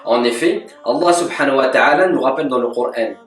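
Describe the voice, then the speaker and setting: A man giving a calm, measured religious lesson as a scripted voice-over, with Arabic devotional formulas woven into his speech. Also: medium pitch. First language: French. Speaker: religious teacher. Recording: echoey, from a distance.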